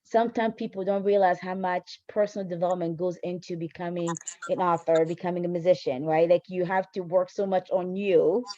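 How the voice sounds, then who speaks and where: A woman's voice: fairly low pitch, little pitch movement, brisk, mellow, dry recording. A woman hosting a conversational interview, encouraging and affirming, on muffled lo-fi audio. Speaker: interviewer, host.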